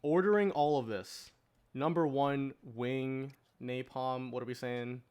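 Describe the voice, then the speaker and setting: A man's voice: mid-range voice, takes it slowly, a little mellow, clear, resonant tone. A young man on a podcast panel, arguing his picks in a casual, assertive and emphatic way. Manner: emphatic, assertive, argues from results. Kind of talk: casual opinionated debate.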